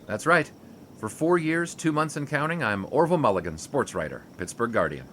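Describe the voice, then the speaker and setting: An actor playing a sarcastic 1920s sports writer, a jilted lover who tosses off quick wisecracks and bits of French. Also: male voice, mid-range voice, very animated, fairly bright tone, a little hiss or hum. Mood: wry. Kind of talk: 1920s period audio drama.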